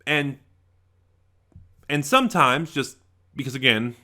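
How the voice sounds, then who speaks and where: Male reviewer, bright forceful tone, lively swinging pitch, informal and rambling, often restarts with emphatic stresses. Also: slow. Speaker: audio drama reviewer. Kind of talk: casual rambling review monologue.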